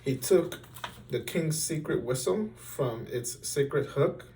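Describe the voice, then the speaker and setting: A man reading a children's story aloud in an expressive, deliberate, theatrical style. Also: fairly high pitch, far from the mic, slight background noise. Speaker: storybook reader.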